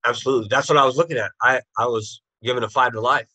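A man's voice: medium pitch, fairly even intonation, racing, pushed and bright, no room sound, rough recording. A man in his thirties, a guest on a video call, bluntly recounting his past in plain street slang. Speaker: interview guest. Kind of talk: telling his life story.

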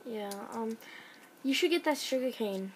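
A woman's voice: on the high side, expressive, unhurried, a crowd in the background, poor audio. Young woman teasing a friend offhandedly. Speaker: friend in the room. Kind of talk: casual chat.

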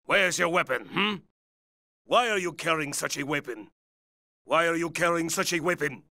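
Gravelly enemy guard in a video game, terse and barking, kinda high sharp voice. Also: male voice, no echo, studio quality, full character voice. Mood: suspicious. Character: alert male enemy soldier guard, gruff and urgent. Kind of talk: video game soldier barks and radio reports.

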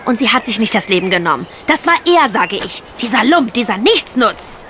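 A woman's voice: a little high, sing-song, brisk, a bit bright, slight background noise. An actress playing a young housemaid in a radio play, simple, guileless and emotional in her earnestness. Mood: earnest, grieving. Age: young adult. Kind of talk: German radio play acting.

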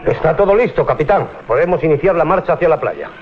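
An actor playing a sceptical, loyal sailor and first mate in a radio drama, dutiful but grumbling. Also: male voice, a little high, lively intonation, fast talker, soft background music, close to an everyday voice. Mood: dutiful reporting. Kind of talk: 1980s Spanish radio drama acting. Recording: muffled, noisy 1980s radio recording.

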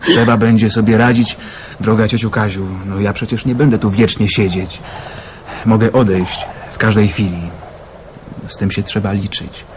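A man's voice: lowish voice, fairly even intonation, normal speed, a little hiss or hum, natural voice, low-quality recording. An actor playing an earnest, resolute man in a radio drama. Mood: grave. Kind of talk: Polish radio drama acting.

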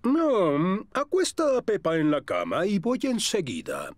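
A man voicing a kindly, reassuring bear doctor in a children's cartoon, very expressive. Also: high-pitched, a little slow, full character voice.

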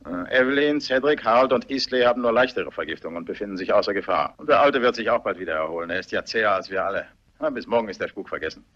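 Brisk, husky-voiced doctor. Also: male voice, lowish voice, lively intonation, fairly bright tone. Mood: assured. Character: guarded, self-assured male family doctor. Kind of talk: German radio play acting. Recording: dull, muffled radio play recording.

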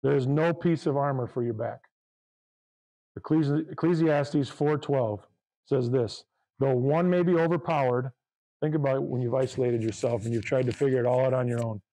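A man delivers a sermon with a loud voice and fervent conviction. His tone is warm and mellow, and he reads scripture aloud to his congregation. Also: mid-range voice, moderate pace, low-quality recording. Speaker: pastor.

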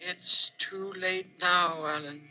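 Eerie, high-pitched pleading phantom. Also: male voice, fairly even intonation. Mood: mournful. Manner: slow, mournful, pleading. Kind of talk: 1940s radio acting. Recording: dull 1940s radio recording, music behind.

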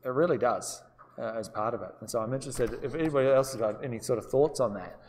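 A man's voice: mid-range voice, fast, darkish tone, slight background noise. A man with a New Zealand accent teaching a live-streamed law seminar, chatty and casual, putting questions to his students. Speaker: law lecturer. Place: lecture room with long reverb. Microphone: distant room microphone. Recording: reverberant lecture hall sound.

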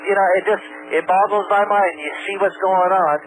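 A man with a fairly high voice talks on a radio phone-in as a precious metals seller. He is earnest and halting, repeating himself. The 1990s broadcast recording is clearly noisy. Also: steady tone. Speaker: call-in precious metals dealer. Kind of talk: radio phone-in talk, sales pitch.

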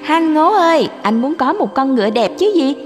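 A woman's voice: high-pitched, sing-song, normal speed, soft background music. A woman voicing a sly, bossy talking cat in a cartoon fairy tale, with a commanding, teasing manner. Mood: coaxing.

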